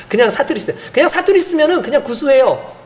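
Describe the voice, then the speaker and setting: A man's voice: very high-pitched, animated, a little fast. A male pastor delivering an earnest, emphatic sermon, asking the congregation rhetorical questions and chuckling now and then.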